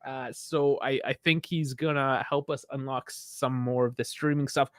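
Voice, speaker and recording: A man's voice: medium pitch, steady tone, normal speed, clean tone, no echo, clean studio sound. A man co-hosting a football podcast in a casual chat, teasing his partner, laughing often and making show announcements.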